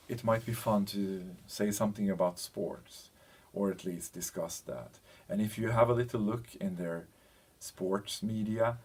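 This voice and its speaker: Low-voiced, husky man explaining haltingly. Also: a little mellow. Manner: hesitant, halting, searching for words. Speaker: presenter of a language training package. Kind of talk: explanatory presentation, loosely scripted.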